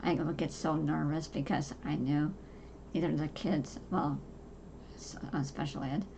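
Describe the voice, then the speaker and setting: A soft-voiced woman in her forties, talking casually and haltingly about her own life, with many fillers and restarts. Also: low voice, fairly even intonation, creaky, a little hiss or hum. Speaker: podcast host. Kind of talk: casual personal talk to camera.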